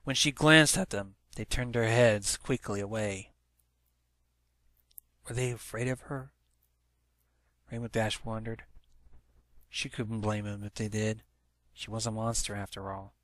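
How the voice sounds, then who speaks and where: A man's voice: fairly low pitch, fairly even intonation, a little slow, pauses often, fairly bright tone, no room sound. A man reading a story aloud as narrator, in a dramatic storytelling manner. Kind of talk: scripted story narration, fan fiction read-aloud.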